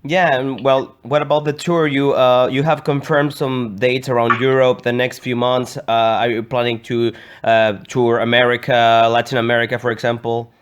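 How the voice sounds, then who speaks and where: A man's voice: mid-range voice, level tone, normal speed, few pauses, clear, resonant tone, dull audio. A man from Chile with a Chilean accent, interviewing a musician in a friendly, hesitant way. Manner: friendly, hesitant phrasing with restarts. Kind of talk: casual interview questions.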